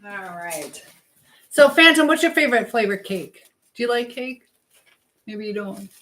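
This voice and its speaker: A woman's voice: medium pitch, expressive, unhurried, a bit bright. A woman hosting a casual live chat, talking directly to viewers and reading out their comments in a conversational way. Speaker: livestream host. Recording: noticeable background noise with clicks.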